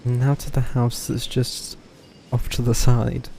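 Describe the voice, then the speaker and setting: A male streamer comments casually as he plays, muttering half to himself. His voice is dark and creaky, at a middling pitch, with faint noise behind it. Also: level tone, slow pace. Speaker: game streamer. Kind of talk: casual live commentary while playing.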